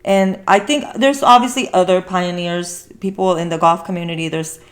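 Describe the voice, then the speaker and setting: A woman's voice: on the low side, fairly even intonation, normal speed. A woman hosting a casual talk show, discussing things in an earnest, meandering, conversational way. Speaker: podcast host.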